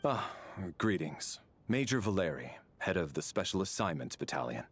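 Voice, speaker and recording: Very deep-voiced, stiff army major. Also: male voice, big pitch swings, slightly rough, exaggerated voice. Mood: formal, dutiful. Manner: stiff, measured, official. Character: formal, stern military major guarding an official. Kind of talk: video game character dialogue.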